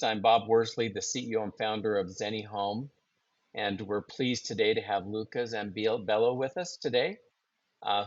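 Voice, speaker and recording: A man with a fairly low voice hosts a business webinar and introduces his guest. The sound is dry and a little dull, like web call audio. He speaks haltingly and leans on "uh" between phrases. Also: no room sound. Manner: halting, filler-heavy introductions. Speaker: webinar host, company founder. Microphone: video call.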